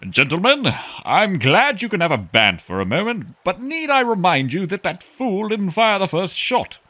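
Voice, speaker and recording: A man's voice: high-pitched, big pitch swings, moderate pace, pushed and bright, full character voice. A man acting a self-important, easily panicked corporate client in a sci-fi audio drama, pompous and wordy. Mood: haughty, indignant.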